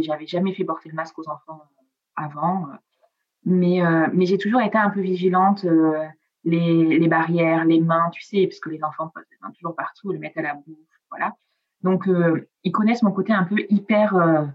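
A woman's voice: on the low side, dull audio. A middle-aged woman from southern France, with Italian as her first language, chatting in an interview with many hesitations and trailing endings. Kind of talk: casual interview chat.

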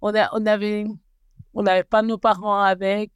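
A woman in her forties, a guest telling her life story slowly and hesitantly, often restarting as she searches for words. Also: medium pitch, flat delivery, smooth, no echo, highs cut off. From Belgium and Rwanda. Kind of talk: personal life story interview.

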